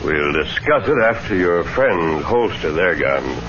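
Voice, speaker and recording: Masked cowboy lawman, deep firm measured voice, old radio western, noisy muffled tape. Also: big pitch swings, heavily acted. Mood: calm, firm. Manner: measured, authoritative, formal diction. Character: masked Western lawman hero, calm, bold, commanding. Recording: noisy band-limited old radio recording.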